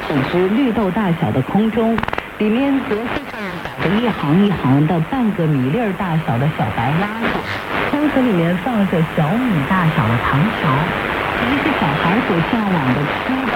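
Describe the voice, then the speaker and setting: Woman telling a documentary story on radio, very lively pitch, tons of shortwave static. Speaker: radio narrator. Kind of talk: scripted documentary narration, storytelling. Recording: very noisy shortwave radio reception. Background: loud radio static.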